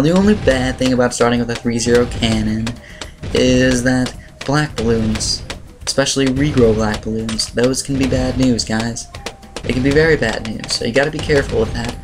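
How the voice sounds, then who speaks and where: A man with a slightly raspy voice giving casual, rambling game commentary as he explains strategy. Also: mid-range voice, steady tone, brisk, noisy background. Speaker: gaming video host. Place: voice-over on screen-recorded gameplay. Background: music.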